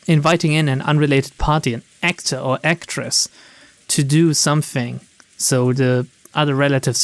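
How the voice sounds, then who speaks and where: A man's voice: fairly high pitch, no echo. A man reading game narration aloud and adding casual, wry commentary, informal in manner. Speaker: video game streamer, narrator.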